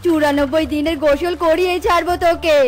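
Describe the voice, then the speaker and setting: Woman voicing a high-pitched, bossy cartoon wife. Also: steady tone, a little fast. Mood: angry scolding. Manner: sharp-tongued, exaggerated. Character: bossy, scolding, disgusted cartoon animal wife. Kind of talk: comic cartoon voice acting.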